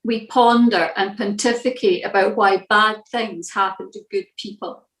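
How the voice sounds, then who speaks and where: A woman reads a scripted devotional with a measured, reflective delivery. Her voice sits at a middling pitch, and the recording is noticeably roomy and echoing. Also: fairly even intonation, fairly bright tone. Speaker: church devotional reader.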